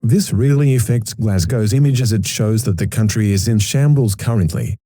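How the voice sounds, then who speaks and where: A man's voice: on the low side, normal speed, mellow, dry recording, clean studio sound, lightly acted. A man playing a serious political analyst, giving a scripted live news report in a formal, matter-of-fact way.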